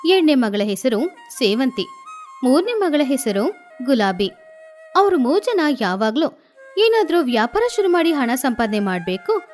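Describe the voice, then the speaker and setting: High-pitched woman narrating a children's story. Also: darkish tone. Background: music.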